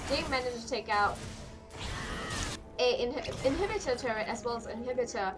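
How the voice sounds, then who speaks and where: Hoarse woman casting a game, high voice. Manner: rapid play-by-play that jumps higher in fights. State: hoarse, losing her voice. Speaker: esports caster. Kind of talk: solo live game commentary. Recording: clear music behind the voice.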